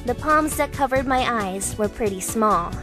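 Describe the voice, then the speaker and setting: A woman's voice: a little high, lively intonation, normal speed, lightly acted. A young woman voicing a wry, reflective girl travelling alone, narrating in first person for an amateur fandub. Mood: musing. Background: background music.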